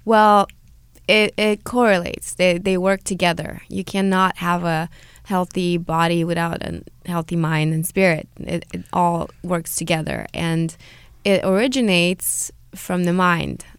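A woman with a Hungarian Romanian accent speaks as a radio guest in a slightly low, smooth voice. She sounds earnest and encouraging, repeating small words as she goes. The studio sound is close and dry. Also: fairly even intonation, unhurried, no echo. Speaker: guest, holistic healer and author. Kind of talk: radio interview.

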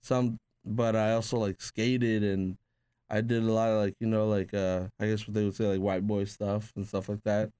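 A man's voice: on the low side, level tone, moderate pace, dark tone, clear, resonant tone, dry recording. A man born in Peru, a tattoo artist guest, casually telling rambling stories full of "like" and restarts. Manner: rambling, filler-heavy with frequent "like". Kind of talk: casual conversational storytelling.

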